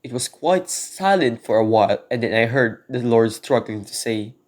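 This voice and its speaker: A man's voice: mid-range voice, lively intonation, normal speed, a little mellow, natural voice. A man playing an uneasy burglar in an amateur radio drama, with an earnest storytelling manner. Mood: solemn testimony. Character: uneasy male burglar, earnest in telling his tale.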